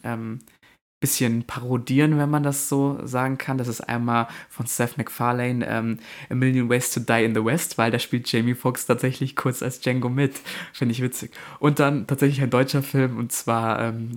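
A man's voice: medium pitch, level tone, moderate pace. A man co-hosting a German film podcast, talking casually and hesitantly with frequent fillers and restarts. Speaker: podcast co-host.

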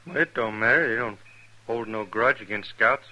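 A man's voice: mid-range voice, moderate pace, bright, forceful tone, muffled recording. A man playing a weary, defiant army scout on the run in a 1950s radio western, terse and brooding, with rustic speech. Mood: guarded, defiant. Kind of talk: 1950s radio drama acting. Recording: noisy vintage 1950s radio broadcast recording.

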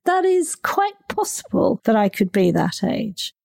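A woman's voice: a little high, very animated, unhurried, gravelly, darkish tone. A woman hosting a podcast, agreeable and drawing out her guest with questions. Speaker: podcast host. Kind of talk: podcast conversation.

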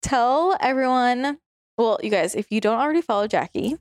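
A woman's voice: fairly high pitch, animated, moderate pace, no echo, studio quality. Bubbly, laughing woman podcast host. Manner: bubbly, laughs often, swears casually. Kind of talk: casual podcast chat. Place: indoors at a friend's home.

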